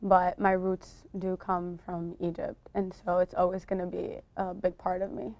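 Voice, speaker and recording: A woman's voice: on the low side, monotone, moderate pace, a little mellow, no room sound, lo-fi sound. A college-age woman answering interview questions thoughtfully and with some hesitation. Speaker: stylist and model.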